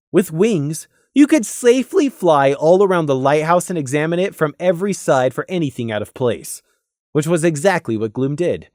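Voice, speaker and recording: A male storyteller narrates fantasy fiction with animated, wide-moving intonation. The recording is close and dry, and the delivery is clearly scripted. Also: on the high side, no echo.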